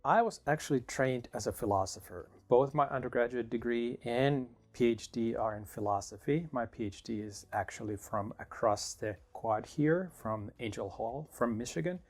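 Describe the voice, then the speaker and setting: A middle-aged man from Finland, a professor answering interview questions in a thoughtful, measured way with small restarts. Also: medium pitch, faint music behind. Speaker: political science professor.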